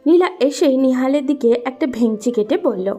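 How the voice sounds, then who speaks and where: A woman's voice: high voice, expressive, normal speed, mellow, soft background music. A woman narrating a scripted romantic story as a storyteller.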